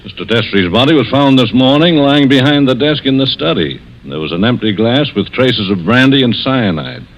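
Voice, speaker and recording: A man's voice: mid-range voice, expressive, normal speed, few pauses, close to an everyday voice. Stern, methodical male police inspector, firm delivery, dull lo-fi vintage radio drama sound actor. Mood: stern, accusing. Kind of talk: 1940s radio mystery acting.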